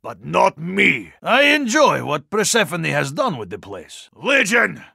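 An actor voicing a hulking, stoic Russian super-soldier in a video game, speaking formally and deliberately with a Russian accent and a gravelly, husky voice. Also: male voice, high voice, wildly swooping pitch, pushed and bright, dry recording, clean studio sound, exaggerated voice. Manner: formal, deliberate, clipped phrasing. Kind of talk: scripted game voice lines.

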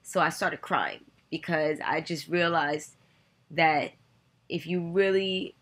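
A woman's voice: sits low, takes it slowly. A woman in her thirties telling a personal story to her webcam from a bedroom, earnest and reflective, with many "you know" fillers. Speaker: vlogger. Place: bedroom, indoors. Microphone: webcam at desk distance.

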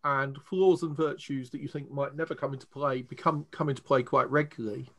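English guy, game master, casually explaining his tabletop game, average pitch. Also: steady tone, brisk, a bit bright.

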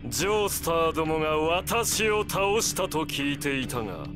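A man's voice: on the high side, lively intonation, music in the background, lightly acted. Man acting grandiose vampire overlord, wildly swooping voice. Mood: gloating, commanding. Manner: theatrical, commanding, drawn-out delivery. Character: arrogant, godlike male vampire villain, menacing and grandiose. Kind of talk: anime video game voice acting.

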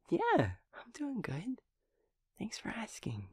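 A man playing a gentle, naive, scatterbrained young daemon friend in an audio roleplay, speaking in hesitant, childlike rambles. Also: high voice, wildly swooping pitch, drawn-out words, husky, dry recording, studio quality, full character voice. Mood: tender, happy. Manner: hesitant, stammering, rambling, childlike, softly giggling.

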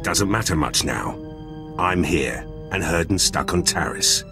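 A deep-voiced, blunt soldier. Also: male voice, expressive, unhurried, over music. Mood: defiant, dry. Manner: curt, gruff-mannered, blunt. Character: blunt male soldier, demolitions expert, stubborn and confident. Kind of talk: video game dialogue.

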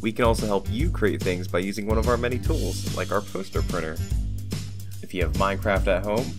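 A man with a fairly low voice delivers scripted promotional narration. He presents a list of activities to visitors, with guitar music playing clearly behind him. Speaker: technology center presenter.

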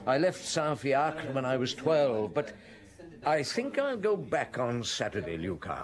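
An actor playing a middle-aged chief inspector, reflective and measured, in a British radio drama style. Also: male voice, medium pitch, big pitch swings, crowd noise. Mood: reminiscing. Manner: measured, musing, clipped sentences. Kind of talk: British radio drama acting, with interior monologue. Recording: old radio broadcast with background bustle.

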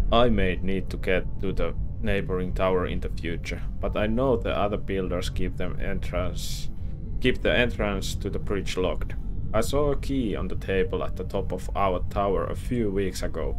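A young man in a headset commenting casually on a game as he plays, thinking aloud and reading diary pages aloud. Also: low voice. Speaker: gameplay streamer. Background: game music.

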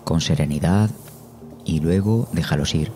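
Very deep, dark-voiced man guiding meditation. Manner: slow, soothing, measured delivery with long pauses. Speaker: meditation guide. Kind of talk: guided meditation narration. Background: meditation music.

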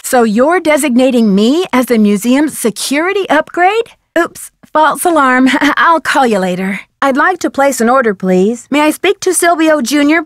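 A woman playing a polite, curious young female detective in a video game, speaking in an inquisitive, clearly enunciated way. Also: high-pitched, no echo, clean studio sound. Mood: upbeat.

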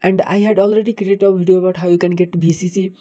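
A man from Nepal with a Nepali accent narrates a screen tutorial haltingly, often repeating words. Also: sits high, level tone, mellow. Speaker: tutorial host. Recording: dull, muffled lo-fi microphone.

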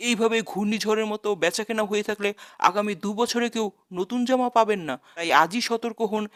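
A woman's voice: mid-range voice, fairly quick, natural voice. A woman voicing a bombastic, comic market promoter in a cartoon parody of a TV report.

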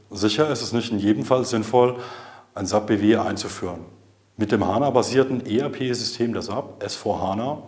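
Guy in his 30s, lowish voice, doing a formal measured business explainer video. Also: darkish tone. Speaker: IT consultant presenting an explainer. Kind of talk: scripted corporate explainer to camera. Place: indoor video studio. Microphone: lapel mic.

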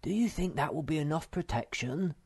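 A man voicing an earnest, excitable young troll boy in a storybook. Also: mid-range voice, fairly quick, slightly rough, no echo, studio quality, pitched above the performer's own voice. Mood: worried. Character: young male troll child, eager and earnest.

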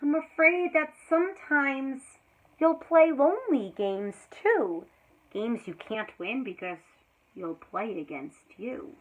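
Woman, 30s, teacher, children's read-aloud, high voice, slow deliberate reading, tinny phone-like sound. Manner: dramatic, swings between hushed and loud exclamations. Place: small indoor room before a green backdrop. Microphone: built-in camera mic at close range.

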